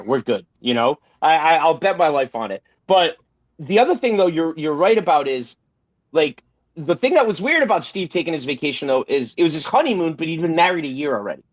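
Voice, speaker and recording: A man co-hosting a casual podcast, talking in an emphatic, rambling way and swearing freely. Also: fairly high pitch. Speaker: podcast co-host. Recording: roomy, slightly dull sound.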